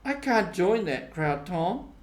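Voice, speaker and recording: A man voicing a shy, uneasy village boy in a storybook, in plain, halting short phrases. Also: sits high, sing-song, echoey room, voice pushed up, heavily acted. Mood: anxious.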